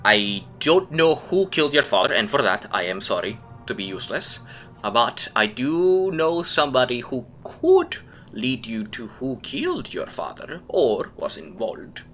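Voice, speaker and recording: A game master voicing a cagey older man who once knew the hero's late father and talks in a slow, lecturing way. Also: mid-range voice, huge pitch swings, a bit bright, faint music behind, pitched above the performer's own voice. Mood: guarded. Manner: cagey, lecturing, speaks slowly.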